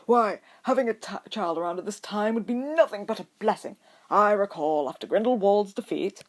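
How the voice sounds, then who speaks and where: Storybook old wizard headmaster, played by a woman: kindly, cheery, courteous, wordy, gently pushy. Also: medium pitch, moderate pace, voice pushed up, close to an everyday voice. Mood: cheerful, insistent. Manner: genial, polite, wordy.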